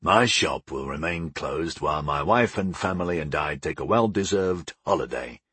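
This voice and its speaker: A man voicing a portly, proud older baker father in an audiobook, gruff and resolute, explaining things without hurry. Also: rumbling low, animated, sharp tone, dry recording, voice pushed down, exaggerated voice. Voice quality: gruff, grumbling. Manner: unhurried, explaining, homespun wisdom. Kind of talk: audiobook character dialogue.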